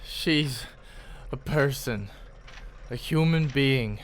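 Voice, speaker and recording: An actor playing an earnest tech expert in a crew for a radio drama, casual and frank. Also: male voice, medium pitch, very slow, slight background noise. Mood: indignant.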